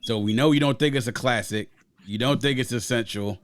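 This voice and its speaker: A man's voice: medium pitch, a little fast, slight background noise. A man from Grenada hosting a casual podcast review of an album, talking enthusiastically and at length, often adding "you know what I'm saying". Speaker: podcast host. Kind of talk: casual music review chat.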